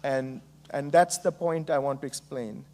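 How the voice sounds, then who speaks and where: A middle-aged man from Bangladesh with a Bangladeshi accent, giving a conference keynote speech in a measured way with frequent ums and uhs. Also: mid-range voice, steady tone, dark tone. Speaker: keynote speaker.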